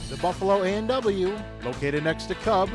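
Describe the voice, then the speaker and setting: A man's voice: high voice, expressive, normal speed. A man reading a scripted radio advertisement as the announcer. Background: music.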